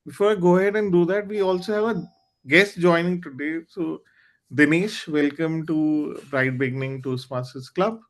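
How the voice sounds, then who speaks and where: A man with a high voice hosts a club meeting over a video call. His is Hindi, and he talks in an earnest, candid way, reaching for words with small fillers. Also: normal speed. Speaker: meeting host. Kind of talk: online club meeting talk.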